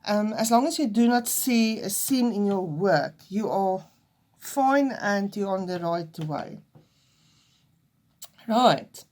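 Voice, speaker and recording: Woman, Afrikaans accent, crochet teacher, slow pace, mid pitch, hesitant. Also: a little mellow. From South Africa. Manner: hesitant and nervous, with fillers and restarts. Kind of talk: unedited crochet lesson.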